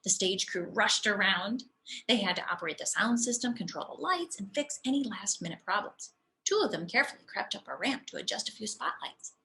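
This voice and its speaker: A woman teacher reading a children's storybook aloud expressively, speaking to young listeners in an animated, demonstrative way. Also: mid-range voice, brisk, low-quality recording.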